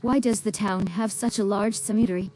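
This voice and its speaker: A woman's voice: mid-range voice, steady tone, brisk, warm tone, faint music behind, close to an everyday voice, rough recording. A woman's synthesized voice playing an inquisitive female adventurer in a video game. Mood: curious.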